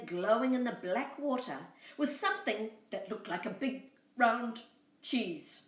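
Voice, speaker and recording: A woman telling a storybook tale to children, expressive and speaking directly to her young listeners. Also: a little high. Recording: roomy echo, far from mic.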